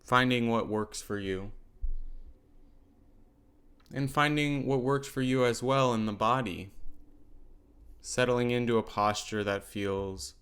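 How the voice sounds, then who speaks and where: A man leading a guided mindfulness meditation as a teacher, giving gentle, unhurried instructions with pauses. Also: mid-range voice, clear, resonant tone.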